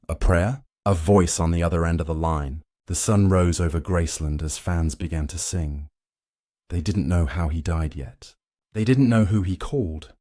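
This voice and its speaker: A man's voice: deep voice, dark tone, no echo, clean studio sound. A man narrating a scripted dramatic story in a solemn, suspenseful way, in short weighted phrases.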